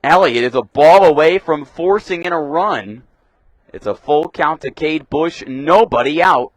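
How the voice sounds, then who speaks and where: A young man calling live baseball play-by-play for radio in a crisp announcer delivery, punching up the pitch calls. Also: expressive, measured pace, no room sound, rough recording. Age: young adult. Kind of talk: live sports commentary.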